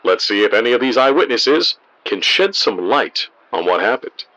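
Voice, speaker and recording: A man's voice: mid-range voice, sing-song, moderate pace, bright, forceful tone. A man playing an eager, pushy TV news field reporter, delivering a live report in an audio drama. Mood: excited, urgent. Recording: dull sound with clear noise.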